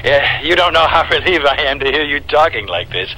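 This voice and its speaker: An actor playing an affectionate, upbeat husband with a chummy, coaxing manner in a vintage radio drama. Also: male voice, on the high side, lively intonation, fairly quick, pushed and bright, low-quality recording. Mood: affectionate, relieved. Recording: hissy vintage radio broadcast recording.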